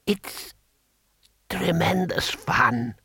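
A man's voice: on the high side, expressive, sharp tone, breathy edge, no echo, studio quality, voice pushed up, heavily acted. A man voicing an ancient, gleefully cruel emperor in a thin, whispery old voice for an audiobook. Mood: gleeful cruelty. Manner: slow, halting, drawn-out. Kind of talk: audiobook character voice.

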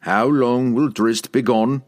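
A man voicing a brooding, hot-tempered young barbarian warrior in an audiobook, speaking formally and forcefully. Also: mid-range voice, steady tone, slow pace, natural voice. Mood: uneasy.

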